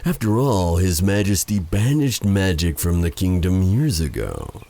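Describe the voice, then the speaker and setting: A man playing a vengeful, silky dark sorcerer in a fantasy ASMR roleplay, taunting and theatrical, with breathy dips toward a whisper. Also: sits low, expressive. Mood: sly, taunting. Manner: taunting, theatrical, drawn-out delivery with purred endearments.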